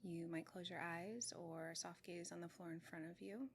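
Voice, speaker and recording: Low, even-voiced woman guiding meditation. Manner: gentle, measured, with long pauses between short phrases. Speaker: meditation teacher. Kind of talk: guided meditation. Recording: clearly noisy with room ambience.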